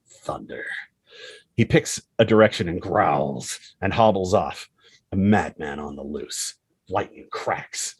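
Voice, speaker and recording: A man with a low, raspy voice reads stage directions aloud. It is scripted screenplay narration, recorded dry and close. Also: no echo.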